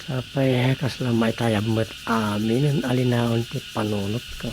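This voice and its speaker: A man's voice: medium pitch, steady tone, measured pace, background noise, close to an everyday voice. A man playing an easygoing, daydreaming husband in a Filipino radio drama comedy, muttering with a Filipino accent. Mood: drowsy musing.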